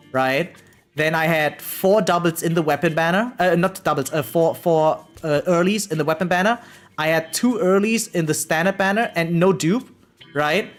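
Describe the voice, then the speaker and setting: An excitable gaming streamer chatting casually and slangily on a livestream, swearing freely. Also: mid-range voice. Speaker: variety game streamer. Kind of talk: casual livestream chat about gacha games. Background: background music.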